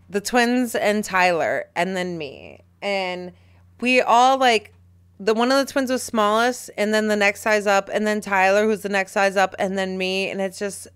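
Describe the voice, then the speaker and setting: A woman in her thirties co-hosting a casual podcast, chatty, teasing and animated. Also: mid-range voice, smooth. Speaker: podcast co-host. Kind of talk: casual chat.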